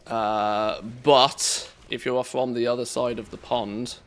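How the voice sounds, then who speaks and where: A man with an English accent giving relaxed, chatty commentary on a live game stream, reacting to play and greeting viewers. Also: mid-range voice, takes it slowly. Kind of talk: casual live-stream commentary while gaming.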